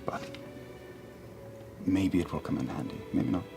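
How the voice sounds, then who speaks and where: A man performs a soft-spoken German wizard in tabletop roleplay, weary but kind. The voice sits low with a gravelly creak, moving in halting, gentle phrases. Music plays prominently behind it. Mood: solemn, gentle.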